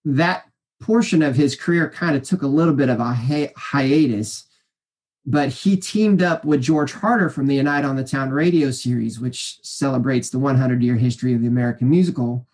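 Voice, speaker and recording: A man in his forties with a naturally high voice, rambling cheerfully through stories as an interview guest, full of fillers and restarts. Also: normal speed, a little mellow. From Kansas City area, US. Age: 40s. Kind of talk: casual interview storytelling.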